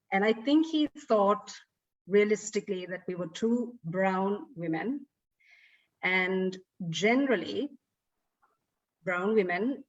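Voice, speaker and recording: A middle-aged woman with a Sri Lankan accent tells stories in an animated way. Her voice sits back from the mic. The audio is dull and lo-fi. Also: medium pitch, far from the mic. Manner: animated storytelling with frequent laughs. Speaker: guest speaker. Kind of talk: storytelling in an online talk.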